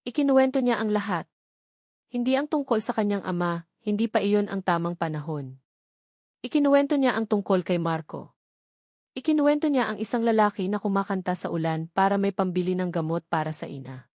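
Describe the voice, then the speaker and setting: A woman's voice: mid-range voice, darkish tone, no room sound, clean studio sound. Woman narrating a dramatic story, Filipino accent.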